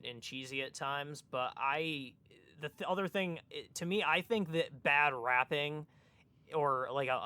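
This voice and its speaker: Male podcast co-host, medium pitch, crisp forward tone, conversational, feels his way through sentences haltingly. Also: bright, forceful tone. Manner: hesitant, halting, repeats word starts. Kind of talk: casual chat.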